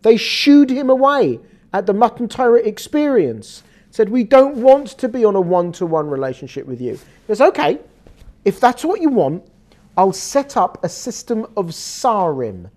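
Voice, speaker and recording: A woman with an English accent giving a lively, conversational religious lecture, acting out quoted lines as she explains. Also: medium pitch, moderate pace. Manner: animated, conversational teaching with quoted dialogue. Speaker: Torah teacher.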